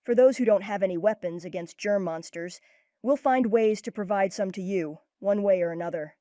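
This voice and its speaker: A woman's voice: medium pitch, normal speed, dark tone, no room sound, natural voice. A woman voicing an earnest child leader who takes charge, in a cartoon-style comic dub. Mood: reassuring. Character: earnest kid leader, Max, organizing friends. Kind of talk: comic dub character acting.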